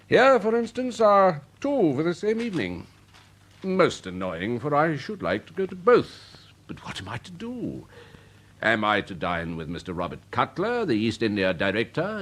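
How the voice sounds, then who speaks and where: Pompous, swooping upper-class English major. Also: male voice, mid-range voice. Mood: smug, fussy. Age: older. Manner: pompous, blustering, theatrical. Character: pompous, snobbish older Victorian major, fussy and easily vexed. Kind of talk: British radio drama acting.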